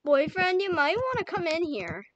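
A woman's voice: extremely high pitch, big pitch swings, normal speed, fairly bright tone, no echo. A woman improvising a dramatic role-play during a video game, exclaiming as she goes. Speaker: gameplay role-player. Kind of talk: improvised gaming role-play with toy characters. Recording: noisy game capture with clicks and gunfire.

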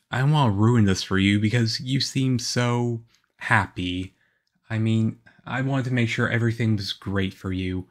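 A man playing a shy, nervous teenage-looking vampire boyfriend in an ASMR roleplay, halting and stammering awkwardly. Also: lowish voice. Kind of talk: ASMR audio roleplay, one-sided conversation.

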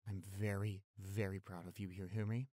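A man's voice: deep voice, little pitch movement, moderate pace, warm tone, no room sound, close to an everyday voice. Man acting protective young boyfriend, dry close sound. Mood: gentle, reassuring. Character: protective, tender young boyfriend, fierce toward bullies. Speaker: audio roleplay voice actor. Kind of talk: intimate boyfriend audio roleplay acting. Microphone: close studio microphone.

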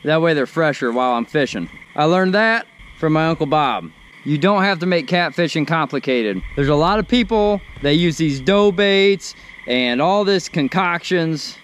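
A man's voice: on the high side, moderate pace, clean tone. A man, giving casual commentary while catching catfish on a riverbank at night. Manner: excited, talks while reeling in fish. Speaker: fishing vlogger. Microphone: action camera, sometimes far.

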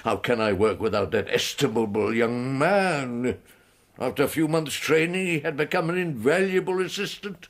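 An actor playing an elderly, courtly invalid professor in a radio drama, British, wheezy and halting, with ornate phrasing. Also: male voice, medium pitch, close to an everyday voice. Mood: mournful. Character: elderly invalid scholar, courtly, self-pitying, secretly scornful.

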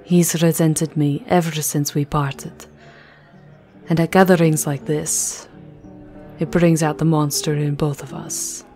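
A woman playing an elegant vampire countess, soft, intimate and courtly, in an ASMR roleplay. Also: low-pitched, little pitch movement, takes it slowly, faint music behind. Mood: earnest, wary. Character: elegant vampire countess, tender yet formidable lover.

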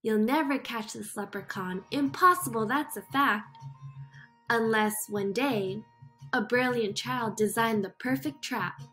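Woman reading as a slow, singsong boastful leprechaun. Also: fairly high pitch, a bit bright. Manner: boastful, teasing, singsong rhyming. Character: cocky, mischievous two-hundred-year-old male leprechaun. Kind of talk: picture book read-aloud. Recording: music behind the voice.

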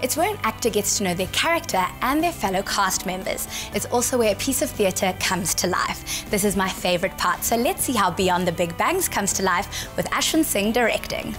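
A woman's voice: medium pitch, lively intonation, normal speed, hardly any pauses, fairly bright tone, faint music behind. A young South African woman presenting and asking interview questions in a scripted television style. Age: young adult. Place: theatre.

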